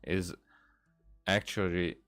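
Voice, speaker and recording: A man giving casual gameplay commentary, rambling and thinking aloud with halting phrases. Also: deep voice, steady tone, fairly bright tone. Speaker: gaming streamer. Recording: game music behind the voice. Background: video game music.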